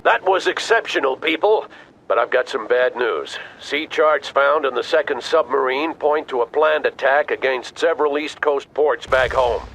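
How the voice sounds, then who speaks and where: An actor playing a stern, demanding American military commander, speaking in clipped orders in a video game. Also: male voice, medium pitch, moderate pace, a bit bright, lightly acted, low-quality recording. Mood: grave, measured. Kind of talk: video game cutscene acting. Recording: battlefield vehicle noise behind voice.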